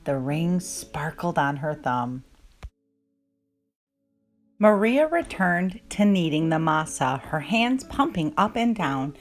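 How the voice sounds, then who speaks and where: A woman narrating a children's storybook aloud in an expressive, measured way. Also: low voice. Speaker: children's book reader. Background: plucked guitar music.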